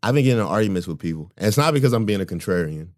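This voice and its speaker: A man with a deep voice co-hosting a casual podcast, talking emphatically and often laughing. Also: animated, very fast. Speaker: podcast co-host. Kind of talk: casual chat.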